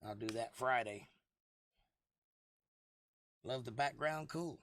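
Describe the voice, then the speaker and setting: A man with a hoarse, froggy voice hosting a casual livestream, rambling and joking at his own expense as he replies to viewers. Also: medium pitch, slow, a bit bright, no room sound, studio quality. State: lost voice for months, throat clearing. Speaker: livestream host.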